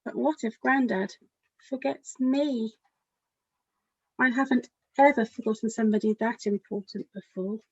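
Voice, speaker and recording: A woman's voice: fairly high pitch, moderate pace, breathy edge, no room sound, highs cut off. A woman children's author reading a picture book aloud expressively, speaking directly to young listeners.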